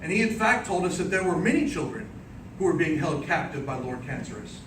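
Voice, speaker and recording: A man with a fairly high voice tells an epic tale as a contest speech. His phrasing is theatrical, deliberate and declamatory. He is heard from a distance in an echoing room, with noticeable noise behind him. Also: sing-song. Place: indoor room before an audience. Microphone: distant microphone. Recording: roomy, distant microphone, clearly noisy background.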